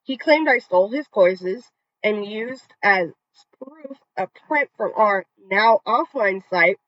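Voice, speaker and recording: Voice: medium pitch, animated, slow, a bit bright. A narrator reading online revenge stories aloud with casual asides, now and then tripping over a word and starting it again. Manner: conversational reading, trips over words and restarts. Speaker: story channel narrator. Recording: dull, slightly lo-fi dry recording.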